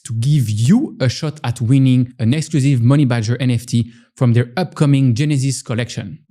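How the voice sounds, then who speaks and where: A man reads a sponsor message for his podcast, brisk and promotional. His tone is mellow and dark, at an average pitch.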